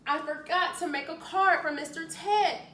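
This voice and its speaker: A woman voicing an earnest, easily worried young male cat in an expressive children's storybook read-aloud. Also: extremely high pitch, normal speed, voice pushed up. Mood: urgent. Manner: exclamatory, expressive. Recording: roomy, distant mic, faint noise.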